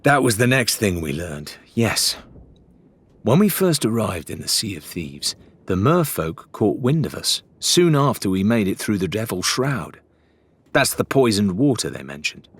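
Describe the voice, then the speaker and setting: A man's voice: on the low side, breathy edge, full character voice. A man voicing a spirited, earnest pirate woman in an audiobook, a little defensive in manner. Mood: explaining.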